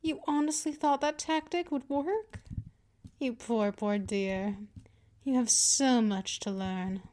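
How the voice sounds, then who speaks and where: A woman playing a dominant, patient female vampire mistress in an ASMR roleplay, teasing, menacing and condescending in a deliberate delivery. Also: high voice, dark tone. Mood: mocking, amused. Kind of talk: ASMR roleplay, scripted monologue.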